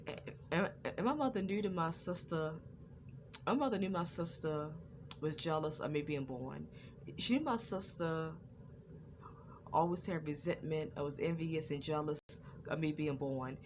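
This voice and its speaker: A woman's voice: deep voice, normal speed, a little hiss or hum. A woman vlogger with a stutter, telling a personal story and circling back over the same phrases again and again. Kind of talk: personal testimony vlog.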